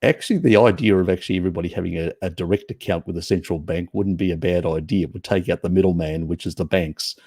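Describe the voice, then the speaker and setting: An Australian man hosts a live-stream chat in a deep, gravelly voice that sounds a little croaky. He rambles through his opinions in a casual, conversational way. The sound is dry and close to the mic. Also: fairly even intonation, fast talker, few pauses, a little mellow, no room sound. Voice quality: gravelly, low. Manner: rambling, opinionated, greets viewers by name. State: slightly croaky voice.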